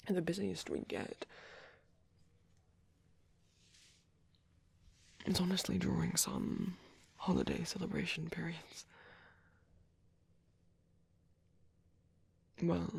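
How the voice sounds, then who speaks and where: Breathy, creaky, gentle vampire priest acted by a man. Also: fairly high pitch, no echo, exaggerated voice. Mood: warm, reassuring. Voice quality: breathy, soft, often dropping to a whisper. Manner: hesitant, reassuring, tender. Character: gentle, caring male vampire priest, protective and reclusive. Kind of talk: ASMR roleplay, soft intimate monologue.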